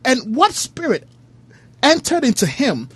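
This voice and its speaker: Woman, panel guest, husky, emphatic, lively intonation. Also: sits high, a little slow, dry recording. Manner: emphatic, repeats words. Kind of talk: casual panel discussion.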